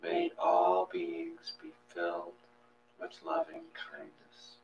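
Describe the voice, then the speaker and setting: Slow, creaky man reciting meditation blessings. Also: fairly high pitch, huge pitch swings. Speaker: guided meditation leader. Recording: dull echoey room with hum.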